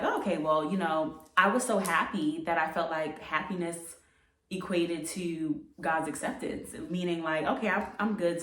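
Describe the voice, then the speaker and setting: A young American woman vlogger speaks in a fairly low voice, sharing her story in a reflective, conversational way. She repeats phrases for emphasis. The sound is quite echoey, picked up some distance from the microphone. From United States. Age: late 20s. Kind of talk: casual personal storytelling to camera.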